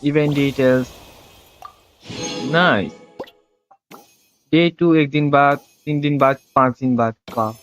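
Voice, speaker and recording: Fast-talking Indian man streaming a game. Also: mid-range voice. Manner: mixes Hindi and English, repeats words. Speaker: game livestreamer. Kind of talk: casual live commentary. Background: game music.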